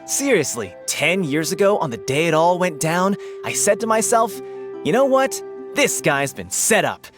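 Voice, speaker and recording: A man's voice: high voice, sing-song, moderate pace, music in the background. An actor playing a boastful, blustery townsman in a game who insists he knew it all along. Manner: blustery, self-assured.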